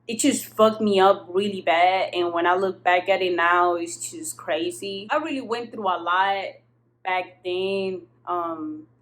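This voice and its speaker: A woman's voice: on the low side, a little slow, a bit bright. A young woman from southern Spain, a native Spanish speaker, telling a personal story in frank, slangy, casual English with some swearing, recorded in an apartment. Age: young adult. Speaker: vlogger. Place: apartment kitchen-living room.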